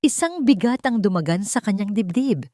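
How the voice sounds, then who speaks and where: A woman narrates a scripted dramatic story in measured, emotive short phrases. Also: mid-range voice, lively intonation, mellow, dry recording, studio quality.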